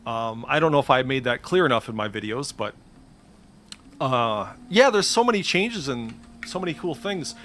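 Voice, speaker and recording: A man's voice: medium pitch, animated, normal speed, fairly bright tone, a little hiss or hum. A man giving casual, informal screen-share commentary as a game streamer, swearing freely. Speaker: streamer demonstrating game add-ons.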